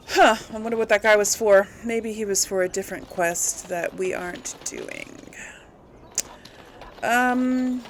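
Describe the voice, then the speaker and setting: A woman giving casual let's play commentary to her audience, rambling and thinking out loud. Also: mid-range voice, a little hiss or hum. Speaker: host of a gaming role-play series.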